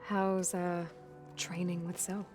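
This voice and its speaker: An actress playing a guarded, determined young heroine in a video game, speaking in a dry, understated, weary way. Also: female voice, on the low side, flat delivery, slow pace, darkish tone, faint music behind. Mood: casual, reserved. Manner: understated, terse, reserved. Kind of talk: naturalistic video game cutscene acting.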